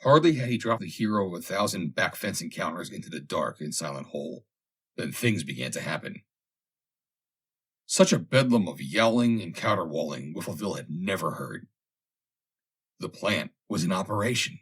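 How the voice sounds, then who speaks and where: A man reading a vintage humorous story aloud as scripted narration, with dramatic, expressive delivery. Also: sits low, moderate pace, husky. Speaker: audiobook reader.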